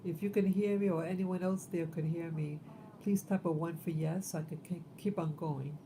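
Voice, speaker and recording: A woman's voice: lowish voice, steady tone, noisy background. Rambling woman in her fifties. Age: 50s. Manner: rambling, halting, many fillers. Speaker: livestream host. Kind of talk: casual livestream talk.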